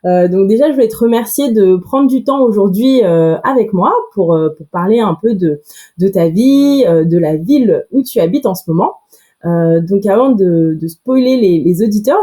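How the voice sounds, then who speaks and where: A woman hosting a podcast, reading a clear intro and then asking chatty questions with fillers. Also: medium pitch, warm tone. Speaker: podcast host. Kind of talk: scripted intro, then interviewing.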